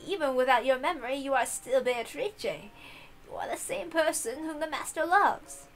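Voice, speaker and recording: Gentle, reassuring servant woman, acted by a female reader, polite and measured, raised pitch, music playing behind. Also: lively intonation. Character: kindly female servant, gently reassuring her young mistress.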